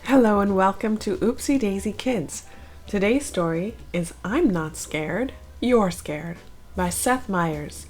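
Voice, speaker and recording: Female children's read-aloud narrator, mid pitch, slow and lively, light music bed. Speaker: storybook narrator, channel host.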